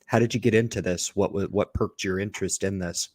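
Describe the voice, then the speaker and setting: Deep-voiced male interview host. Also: steady tone, brisk, a little mellow, no room sound, clean studio sound. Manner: friendly prompting with repeated restarts. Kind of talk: casual interview.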